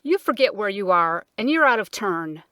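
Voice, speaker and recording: A woman's voice: mid-range voice, sing-song, moderate pace, a bit bright, dry recording. Lady doing a grumpy sleepy old king, bossy and solemn, dry recording. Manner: drowsy, irritable, solemn.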